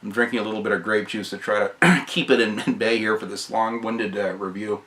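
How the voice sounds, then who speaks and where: A man with a hoarse voice giving a casual, enthusiastic, rambling review of his game collection, often clearing his throat. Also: lowish voice, fairly even intonation, a little fast, background noise. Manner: enthusiastic, rambling, repeats praise.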